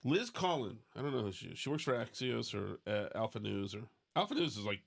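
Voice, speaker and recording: Excitable, profane male podcast host. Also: on the low side, brisk, gravelly. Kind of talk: casual opinionated banter.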